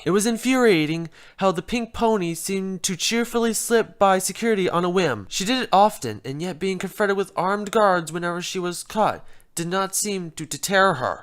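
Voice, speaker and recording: A narrator reading a scripted comic fan fiction story aloud. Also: mid-range voice.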